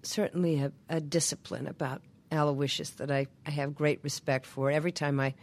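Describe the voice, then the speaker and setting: A woman in her fifties, a stage actor with a smoky, husky voice, answering interview questions in a halting way with many restarts. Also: deep voice, little pitch movement. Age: 50s. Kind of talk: interview guest.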